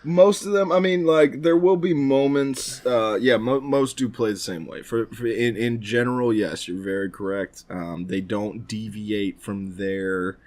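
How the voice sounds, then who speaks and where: A man's voice: fairly low pitch, a little slow, hardly any pauses, darkish tone. A man chatting casually as a podcast co-host, often hedging and circling back as he talks. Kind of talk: casual chat about games.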